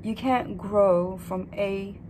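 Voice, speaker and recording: A young woman vlogging to camera from a hotel room, talking softly and reflectively, hesitant with fillers. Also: mid-range voice, fairly even intonation, slow, slight background noise. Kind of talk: casual vlog talk to camera.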